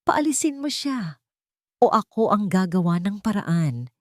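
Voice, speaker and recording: A woman's voice: medium pitch, big pitch swings, measured pace, no echo, studio quality, voice pushed up. A woman playing an arrogant, domineering rich man who speaks with scornful command in a narrated romance story.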